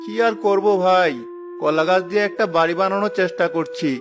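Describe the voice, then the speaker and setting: A man's voice: high voice, fairly even intonation, fairly quick, over music, lightly acted. A man voicing a stubborn, simple-hearted village husband in a cartoon, earnest and insistent. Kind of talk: cartoon voice acting.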